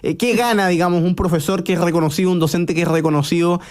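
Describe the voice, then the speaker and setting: A man's voice: sits high, fairly even intonation, fast talker, darkish tone. A man with a Chilean Spanish accent hosting a radio interview, asking questions in bursts and rephrasing them as he goes. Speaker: radio host.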